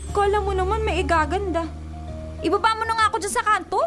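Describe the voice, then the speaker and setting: A woman's voice: very high voice, fast talker, over music. A woman with a Filipino accent playing a hot-tempered, sarcastic young girlfriend in a radio drama, nagging emphatically. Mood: angry, sarcastic.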